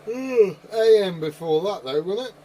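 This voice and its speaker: A man's voice: sits high, expressive, moderate pace. Man in his forties, noisy radio hiss. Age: 40s. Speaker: CB radio operator and video host. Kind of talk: casual radio chat. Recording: clearly noisy CB radio room ambience.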